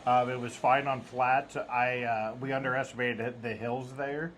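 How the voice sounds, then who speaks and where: A man co-hosting a travel vlog, telling relaxed, joking anecdotes. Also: medium pitch, moderate pace, slight background noise. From American Midwest. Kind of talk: casual travel vlog storytelling.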